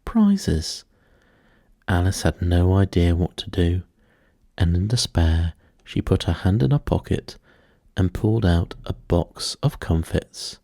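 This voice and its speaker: A man reading a bedtime story in a slow, soothing, measured and hushed way. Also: low-pitched, creaky, no echo, studio quality. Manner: measured, hushed, gently paced delivery. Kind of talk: slow, soothing scripted storybook narration for sleep.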